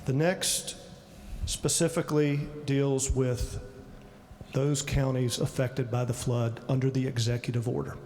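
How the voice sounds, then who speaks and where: A man legislator giving a measured, explanatory floor speech with frequent fillers. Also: medium pitch, little pitch movement, darkish tone, slight background noise. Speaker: state delegate. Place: legislative chamber. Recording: long hall reverb, faint ambience.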